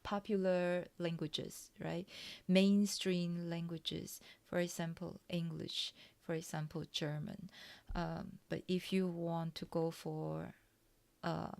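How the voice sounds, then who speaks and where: Slow, hesitant woman. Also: lowish voice, vocal fry, a little mellow. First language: Taiwanese Mandarin. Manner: hesitant, many fillers and pauses. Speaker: coding tutorial host. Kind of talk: soft-spoken ASMR coding walkthrough.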